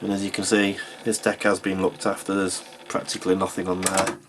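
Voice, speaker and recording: A man with a fairly low voice talks through a practical repair in an informal, matter-of-fact way. He sounds like a technician explaining each step while his hands are busy. The recording has clear background noise and clicking. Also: completely flat delivery, fairly quick. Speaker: repair technician and video host. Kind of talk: informal hands-on tutorial narration.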